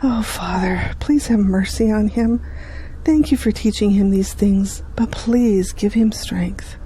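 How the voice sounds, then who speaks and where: A woman's voice: medium pitch, takes it slowly, mellow, slight background noise, lightly acted. An actress playing a devout middle-aged mother, earnest and instructive, in an audio drama. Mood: pleading, prayerful. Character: devout, gently earnest mother counselling her son.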